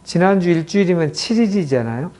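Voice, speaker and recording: A man's voice: sits high, lively intonation, dark tone. A middle-aged man, a clinic director, lecturing an audience on communication and acting out example quotes as he explains. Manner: explains slowly with many acted example quotes.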